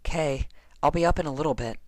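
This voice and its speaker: A man's voice: on the high side, brisk, no echo, voice pushed up, close to an everyday voice. A man voicing a chatty, argumentative female pony who is given to daydreams and easily exasperated. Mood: excited, exasperated.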